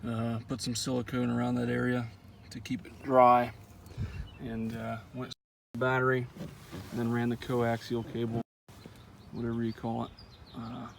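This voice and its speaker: A man's voice: medium pitch, normal speed, gravelly, slight background noise. A man giving a casual, folksy how-to walkthrough of a truck install outdoors, with frequent "uh" fillers. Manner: relaxed, lots of "uh" fillers, folksy. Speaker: truck owner showing an install.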